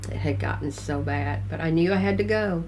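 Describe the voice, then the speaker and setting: An older woman with a gruff, congested voice, chatting casually and rambling to her vlog viewers. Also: sits low, fairly quick, a little mellow, slight background noise, poor audio. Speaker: vlogger. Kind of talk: casual chat to camera. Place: tiny house.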